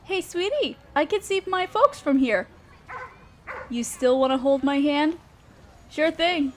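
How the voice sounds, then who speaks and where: A woman's voice: sits high, expressive, a little slow. A woman playing an affectionate, reassuring girlfriend in a roleplay audio, speaking sweetly and tenderly to a partner. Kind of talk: roleplay audio acting, addressing the listener as partner. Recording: noisy park and fireworks effects. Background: park sounds, fireworks.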